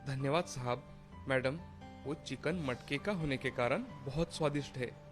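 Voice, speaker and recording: A man voicing a humble, poor village father turned food seller, polite and deferential, in an animated moral story. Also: medium pitch, unhurried, over music, natural voice. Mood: polite, obliging.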